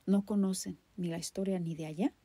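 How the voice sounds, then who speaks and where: A woman's voice: medium pitch, fairly even intonation, a little fast, warm tone, slightly rough. A woman with a Mexican accent hosting a podcast, delivering a personal, poetic monologue in an impassioned, emphatic way with dramatic repetition. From Guadalajara, Mexico. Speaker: podcast host.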